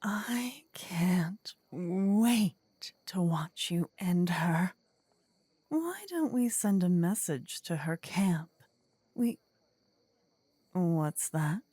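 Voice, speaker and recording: A woman's voice: lowish voice, expressive, extremely slow, mellow, husky, no room sound, heavily acted. A woman voicing a possessive, teasing demon queen in a fantasy ASMR audio roleplay, theatrical and gloating. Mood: scornful, possessive.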